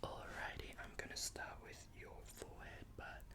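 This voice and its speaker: A man doing an ASMR roleplay in a breathy whisper, speaking gently and reassuringly like a big brother, close to the microphone. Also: normal speed. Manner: gentle, reassuring big-brother patter, repeating words softly.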